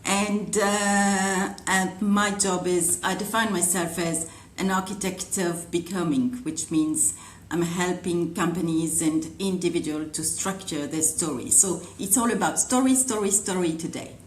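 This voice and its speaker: A woman's voice: fairly low pitch, measured pace, slight background noise. A woman from France with a French accent, an upbeat panelist introducing herself on a webinar call. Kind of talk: webinar greeting. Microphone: video call. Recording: roomy echo.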